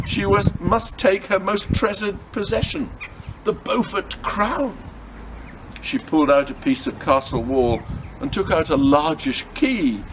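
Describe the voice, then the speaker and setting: Male narrator for children's adventure fiction, lively intonation, slightly high voice, clearly noisy home recording. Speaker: storyteller reading a chapter aloud. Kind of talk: expressive audiobook-style narration of a children's adventure story.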